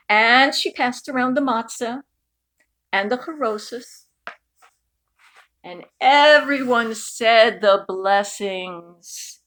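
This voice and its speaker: A woman reading a picture book aloud expressively, with deliberate, dramatic phrasing that stretches key words. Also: fairly high pitch, fairly bright tone. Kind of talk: expressive storybook read-aloud.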